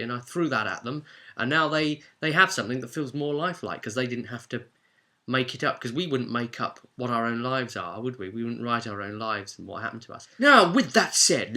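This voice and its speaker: A man hosting and recapping a role-play game as an informal, expressive storyteller and game master. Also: medium pitch. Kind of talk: animated storytelling recap to viewers.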